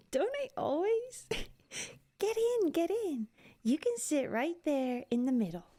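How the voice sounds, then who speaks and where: A woman playing a curious, playful, scholarly young elf in an ASMR roleplay, with a soft breathy voice and a coaxing, teasing manner. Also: high-pitched, expressive, takes it slowly.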